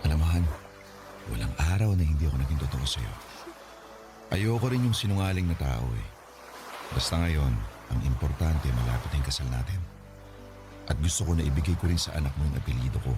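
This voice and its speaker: A deep-voiced, doting groom. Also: male voice, animated, a little fast, pauses often. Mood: loving. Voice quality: warm, teasing, sweet-talking. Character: devoted, playful young groom-to-be. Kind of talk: radio drama acting. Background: background music.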